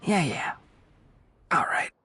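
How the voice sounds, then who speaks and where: A woman voicing a wounded, stubborn young male forest healer in a video game, husky and weary. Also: slow pace, fairly bright tone, a little hiss or hum. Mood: tired, grateful. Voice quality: breathy, husky. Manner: weary, sighing. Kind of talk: video game character acting.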